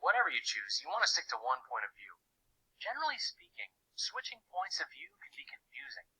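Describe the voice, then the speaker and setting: A man's voice: fairly high pitch, wildly swooping pitch, a little fast, pushed and bright, breathy edge, heavily acted, rough recording. A man playing an earnest, slightly put-upon boy who explains lessons conversationally in an educational cartoon, with wry asides. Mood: explaining.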